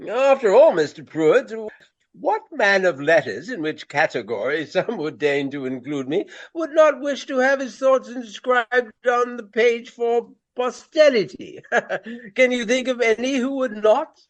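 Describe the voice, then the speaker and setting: High-voiced, pompous Regency gentleman actor. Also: lively intonation, measured pace, muffled recording. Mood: grandiose. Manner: pompous, florid, hesitant with formal archaic speech. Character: vain, fussy Regency gentleman actor, greying, easily puzzled. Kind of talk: radio play acting.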